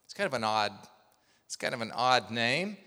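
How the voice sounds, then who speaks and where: A man's voice: mid-range voice, sing-song, moderate pace, sharp tone. A man from Oklahoma preaching a sermon as pastor, telling a story and asking the congregation questions. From Oklahoma, USA. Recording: long hall reverb.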